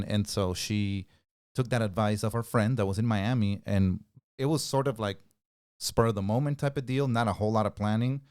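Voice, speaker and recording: A young man from Peru, a native Spanish speaker, telling his story in a relaxed, conversational way as a podcast guest. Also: on the low side, smooth, dry recording, studio quality. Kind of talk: casual interview storytelling.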